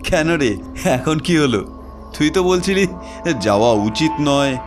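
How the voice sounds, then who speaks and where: A man's voice: on the high side, expressive, a little slow, faint music behind. An actor playing a brash, fearless young man who mocks his friend. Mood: teasing. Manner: mocking, dismissive. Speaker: character in audio drama.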